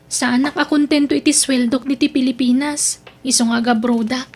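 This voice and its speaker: An eager young daughter working abroad played by a woman in an Ilocano audio drama. Her voice is high and bright, and she talks earnestly to her father with an Ilocano accent. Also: fairly even intonation, brisk, faint music behind, natural voice.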